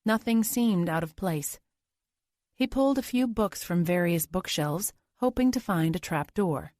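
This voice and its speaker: A woman's voice: fairly low pitch, lively intonation, a little slow, a little mellow, no echo, clean studio sound. A woman reading scripted thriller audiobook narration.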